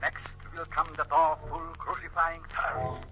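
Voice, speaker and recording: An actor playing a cruel, gloating villain in an old-time radio horror play, menacing and deliberate. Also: male voice, a little high, steady tone, sharp tone. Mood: gloating menace. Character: cruel gloating villain foretelling a victim's madness. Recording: muffled old radio, music behind.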